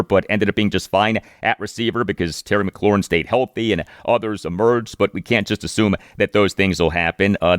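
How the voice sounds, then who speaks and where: A man with a low-pitched voice hosts a sports podcast on his own. He lands key phrases with deliberate emphasis as he comments and reads aloud. The sound is dry, with very little room in it. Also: fairly bright tone, dry recording. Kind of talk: solo sports commentary, reading listener emails and quotes.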